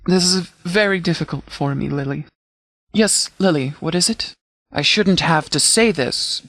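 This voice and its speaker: A man playing a stern, protective post-apocalyptic sheriff in a video game, speaking in a formal, deliberate, fatherly way. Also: a little high, fairly bright tone, no echo. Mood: hesitant, awkward, then stern warning. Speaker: video game mod character actor. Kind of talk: scripted game dialogue.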